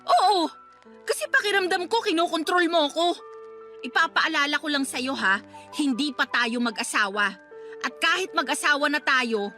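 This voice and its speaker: A woman's voice: sits high, pushed and bright. A snappy, defensive girlfriend. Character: careless, defensive young girlfriend, easily irritated. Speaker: girlfriend in a radio drama. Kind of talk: radio drama acting.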